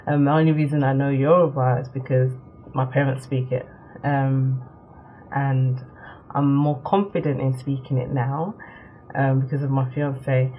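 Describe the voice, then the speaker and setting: A woman's voice: rumbling low, little pitch movement, phone-quality sound. Woman, young adult, born in Nigeria, casual vlog talk, lots of ums, faint background hiss. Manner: chatty, with many ums and quick laughs. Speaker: vlogger. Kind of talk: casual talk to camera, answering a tag.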